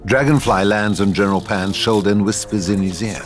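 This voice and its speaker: Casting: male narrator for a radio play table read, low register, music bed behind. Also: steady tone, a little fast. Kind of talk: scripted narration of stage directions.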